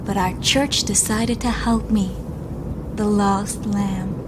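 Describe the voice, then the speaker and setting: An actress with an Irish accent playing a guilt-ridden, weary and defiant woman, halting and intense, in an audio drama. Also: mid-range voice, steady tone, background noise, close to an everyday voice. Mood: resigned.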